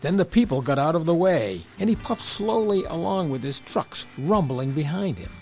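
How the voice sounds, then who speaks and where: A man's voice: a little high, lively intonation, moderate pace, a little mellow, soft background music. A man reading a scripted children's story as the narrator.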